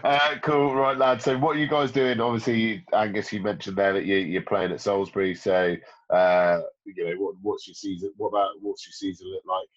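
A man's voice: fairly low pitch, flat delivery, brisk, dull audio. A young man hosting a casual catch-up with friends, upbeat and informal. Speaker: host of a video call chat. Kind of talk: casual chat.

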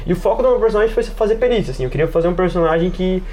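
A man's voice: high voice, rapid-fire, a little mellow. A man chatting casually on an RPG podcast, informal and hesitant, often circling back to rephrase himself. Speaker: RPG podcast participant and player. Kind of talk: casual chat.

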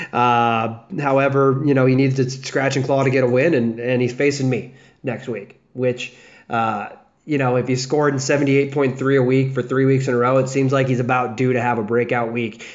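A young American man hosting a casual solo fantasy football podcast, chatting loosely with fillers and restarts. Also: mid-range voice. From United States, south of the Chicago area. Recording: dull lo-fi podcast audio.

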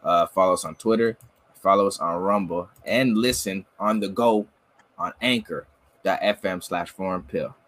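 Husky, slangy podcast co-host. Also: male voice, low-pitched, normal speed, fairly bright tone, no echo. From Brampton, Canada. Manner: laid-back, slangy, keeps saying "you know what I'm saying". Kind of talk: casual chat.

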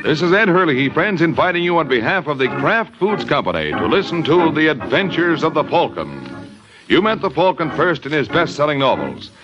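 A male 1950s radio announcer on a dull old recording, with organ music behind him. His fairly high voice moves in lively swoops as he delivers a polished sponsor pitch. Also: moderate pace.

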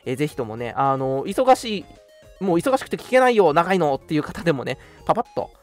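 抑揚豊かな男性ラジオパーソナリティ. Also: male voice, a little high, sing-song, fast, soft background music. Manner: polite announcer phrasing mixed with playful banter. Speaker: radio show host and scriptwriter. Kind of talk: casual hosting with announcements.

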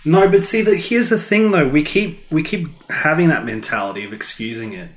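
A man's voice: a little high, animated, fairly quick, slight background noise. Echoey man in casual podcast chat. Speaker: podcast co-host.

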